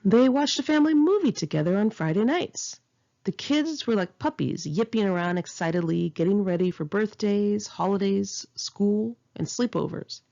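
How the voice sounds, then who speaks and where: A woman's voice: mid-range voice, lively intonation, moderate pace, gravelly, warm tone. A woman reading her own memoir as an audiobook, in an earnest, emphatic, confessional manner, on a slightly dull home recording. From United States.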